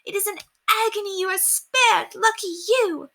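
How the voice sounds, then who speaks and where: A woman's voice: very high voice, measured pace, bright, forceful tone, voice pushed up, exaggerated voice. A woman voicing a haughty, melodramatic upper-class lady from a storybook, theatrical and gushing. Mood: distraught, self-pitying. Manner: theatrical, gushing, indignant exclamations. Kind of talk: storybook character voice read aloud.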